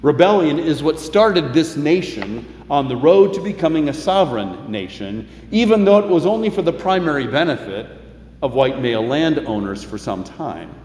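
Older preacher in an echoey church. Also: male voice, on the high side, sing-song, a little mellow. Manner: measured preaching, slowing for emphasis. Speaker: pastor. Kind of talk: sermon. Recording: echoey hall, faint room noise.

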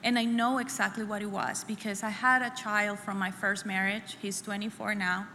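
A middle-aged woman from El Salvador, sharing her personal testimony at a church women's conference in an earnest, halting way, often repeating phrases. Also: medium pitch, flat delivery, bright, forceful tone. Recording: echoey hall with faint room noise.

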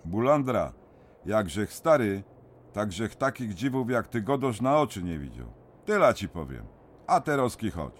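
A grumbling old miner with a broad Silesian accent, his mid-pitched voice swinging widely as he scoffs. The radio play has a faint mine hum behind it. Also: very animated, a little slow. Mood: impatient. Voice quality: blunt, grumbling dialect speech. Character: gruff skeptical older male miner Ecik. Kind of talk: radio drama acting.

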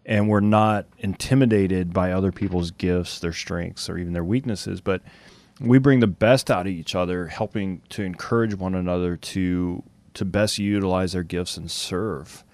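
Male radio host with a deep voice, earnest and steady, reflecting at length. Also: dry recording. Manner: earnest, measured, uses "you know" and "right?". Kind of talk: reflective interview talk on faith.

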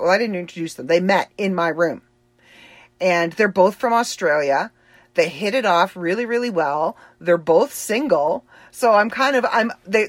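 A woman's voice: medium pitch, no echo. A woman with a Boston accent giving a rambling, chatty personal update, laughing easily as she talks. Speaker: author and livestream host.

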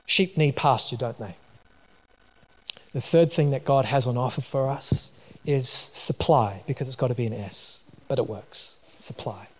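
A man's voice: mid-range voice, measured pace. Kiwi guy preaching, casual chatty delivery, lots of ums, big reverby hall. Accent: New Zealand. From New Zealand. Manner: conversational, many fillers and restarts. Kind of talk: sermon with personal story.

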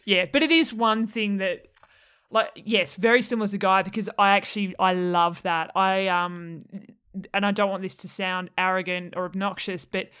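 Woman, podcast host, lively, chatty, low-pitched for her, casual. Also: moderate pace, smooth. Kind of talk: casual interview chat.

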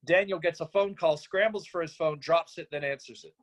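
A man's voice: fairly high pitch, fairly quick. A man reading out stage directions and scene recaps as scripted narration for a screenplay reading.

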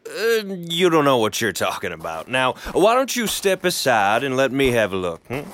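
Audio drama role: gruff, brooding American cowboy, dismissive drawl, very varied pitch. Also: male voice, huge pitch swings, normal speed, a bit bright, slight background noise. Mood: gruff, condescending.

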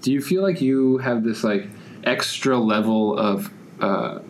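A man's voice: mid-range voice, unhurried, slight background noise, rough recording. A young man from the United States chatting casually, rambling through his thoughts with constant "like" fillers. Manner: rambling, heavy use of "like" fillers. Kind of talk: casual conversation.

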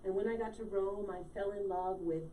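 A woman's voice: medium pitch, steady tone, brisk, phone-quality sound. A woman in her late fifties from Connecticut, a wellness coach telling her story in a chatty, storytelling way as a guest on a live show. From Connecticut, USA. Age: late 50s. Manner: chatty, storytelling, quizzes the host. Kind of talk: casual live-show conversation. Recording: echoey room, distant from mic, faint noise.

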